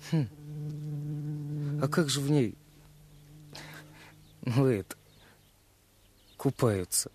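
An actor playing a naive, curious village boy in a radio play, with a husky voice and hesitant, folksy talk. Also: medium pitch, drawn-out words, a little mellow, a little hiss or hum. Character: naive, curious, secretive young village boy, red-haired friend.

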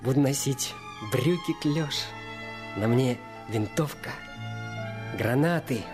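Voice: medium pitch, takes it slowly, music in the background. A performer playing a curious, dreamy boy who longs to be a soldier, wondering and wistful, in a Russian radio play.

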